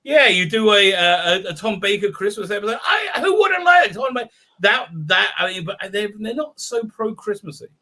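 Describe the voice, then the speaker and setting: A man chatting on a video call as interviewer, excitable and tripping over his words. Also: high-pitched, normal speed. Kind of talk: casual video chat.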